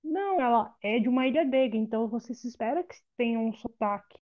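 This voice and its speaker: A fairly high-voiced woman gives her opinion on film acting in a loose, chatty way, restarting her sentences often. The sound is dull and lo-fi, like a remote call. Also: lively intonation, fast talker. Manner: opinionated, talks it through with many restarts. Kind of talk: casual film review chat.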